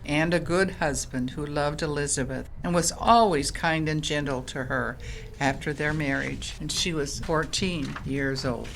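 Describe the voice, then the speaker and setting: A woman's voice: low-pitched, a little slow, gravelly, a little hiss or hum. A woman playing a kindly, devout storyteller who earnestly teaches children in an audio drama. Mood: storytelling. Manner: earnest, instructive, addresses listeners by name. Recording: music playing behind the voice.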